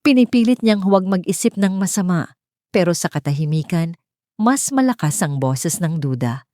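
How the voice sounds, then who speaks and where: Mellow, lower-pitched woman narrator. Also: lively intonation, moderate pace, no room sound, clean studio sound. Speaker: story narrator. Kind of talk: scripted dramatic storytelling narration.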